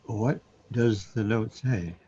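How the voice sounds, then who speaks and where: An actor playing a troubled, bewildered man in a surreal sci-fi radio drama, hesitant and halting as he muses aloud. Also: fairly low pitch, mellow, husky, muffled recording, exaggerated voice. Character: troubled, bewildered man overwhelmed by cosmic reality.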